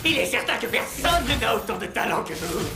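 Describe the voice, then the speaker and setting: A man playing an obsequious, gushing puppet henchman with a gravelly voice in a cartoon. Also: high-pitched, very animated, fairly quick. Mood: fawning. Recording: roomy echo, music underneath.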